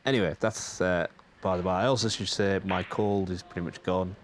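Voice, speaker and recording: A deep-voiced man talks over his own practice footage in an easygoing, rambling way, pausing and hesitating often. He is getting over a cold, so he sounds a little congested and tired. There is a faint hiss of noise behind him. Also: fairly even intonation. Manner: rambling and chatty, frequent hesitations. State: slightly stuffed up and tired from a cold. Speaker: channel host.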